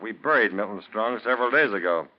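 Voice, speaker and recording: An actor playing a practical small-town sheriff with a folksy, no-nonsense manner, in a 1940s radio drama. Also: male voice, on the low side, lively intonation, fast, close to an everyday voice. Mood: brisk, urgent. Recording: dull, noisy vintage radio recording.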